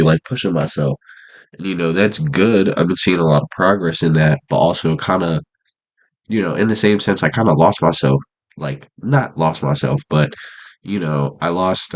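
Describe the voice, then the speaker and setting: A young man with an extremely deep voice and a stuffed-up, congested nose talks casually to his camera. His speech is loose and rambling, packed with slang and fillers, in an unscripted vlog monologue. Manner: laid-back, rambling slang talk with many fillers. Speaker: vlogger. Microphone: camera mic.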